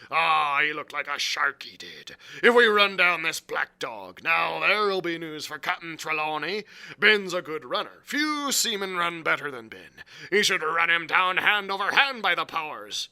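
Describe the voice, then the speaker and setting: A man's voice: a little high, creaky, pushed and bright, heavily acted. A man voicing a hearty, crafty one-legged seafaring tavern landlord, with blustering, emphatic, salty sailor talk, as in an audiobook. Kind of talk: audiobook character acting.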